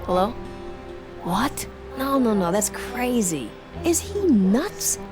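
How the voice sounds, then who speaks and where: An actress playing a brisk, assertive young woman in a video game. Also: a little high, sing-song, music in the background. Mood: brisk, exasperated. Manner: direct, brisk.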